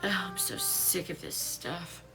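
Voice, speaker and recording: A woman in her late fifties talks through a casual live stream from home, greeting viewers one by one as she lists items for sale. Her voice sits low with a gravelly edge. Soft music plays faintly behind her. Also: a little slow, rough recording. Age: 50s. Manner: chatty, greets viewers by name, talks while listing items. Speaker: online seller live-streaming.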